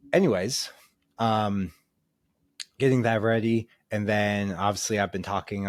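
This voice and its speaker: A man talks through a solo podcast in a loose, rambling way, full of ums and restarts. His lowish voice sounds a little nasal and stuffed up from a cold. The sound is dry and close. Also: no room sound. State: congested from a cold. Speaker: podcast host. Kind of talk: casual solo podcast monologue.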